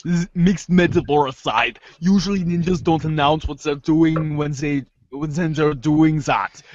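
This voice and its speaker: A man's voice: a little high, no echo, close to an everyday voice. A man playing a sarcastic, brainy teenage girl in a comic readers theater, dry and wordy, on a dull lo-fi recording. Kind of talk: readers theater of web comic chat logs. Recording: dull, lo-fi microphone sound.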